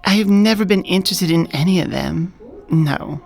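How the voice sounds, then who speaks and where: A woman playing a confident, dominant elf coworker who flirts adoringly, soft-spoken in a close-miked ASMR romance roleplay. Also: fairly low pitch, normal speed, gravelly. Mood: teasing, seductive. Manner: teasing, doting, self-assured. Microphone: close binaural studio microphone.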